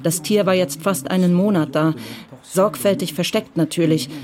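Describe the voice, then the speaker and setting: A woman narrating a radio crime drama in a calm, measured way. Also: on the low side.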